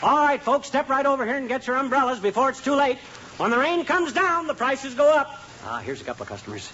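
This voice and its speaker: A man's voice: extremely high pitch, very animated, quick pace, muffled recording, a faint crowd, slight background noise, full character voice. A man playing a boastful small-town schemer who talks in folksy slang and wisecracks, in a 1950s radio sitcom. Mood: brash. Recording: old radio broadcast with loud studio audience laughter.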